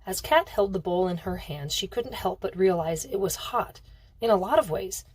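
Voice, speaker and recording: A woman reading a novel aloud as expressive narration. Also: medium pitch, normal speed, husky. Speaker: reader of a novel.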